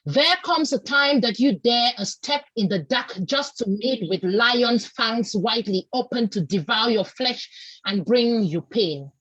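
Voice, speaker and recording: A woman performing a spoken word poem with a slow, measured, solemn delivery. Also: on the high side, expressive, fairly bright tone, husky, no room sound, dull audio.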